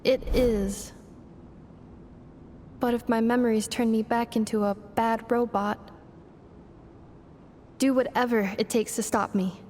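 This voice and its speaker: Earnest, high-voiced robot girl. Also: fairly even intonation, a little mellow, slight background noise. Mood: troubled. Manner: formal, measured, analytical wording. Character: earnest, analytical female robot searching for belonging. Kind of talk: video game voice acting.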